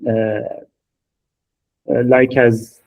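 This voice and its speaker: A man from Iran talking on a podcast, hesitant and full of filler pauses, in English. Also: mid-range voice, flat delivery, gravelly, husky. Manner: halting, many filler pauses, non-native phrasing. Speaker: podcast co-host. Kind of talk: podcast conversation. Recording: phone-like thin audio.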